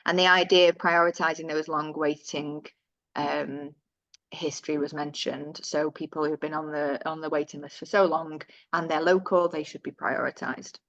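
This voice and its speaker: A woman's voice: sits low, fairly even intonation, normal speed, a bit bright, no echo. A woman presenting survey findings in a webinar. She talks conversationally and corrects herself as she goes. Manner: conversational, with self-corrections and asides. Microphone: computer mic on a video call. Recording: dull, lo-fi webinar audio.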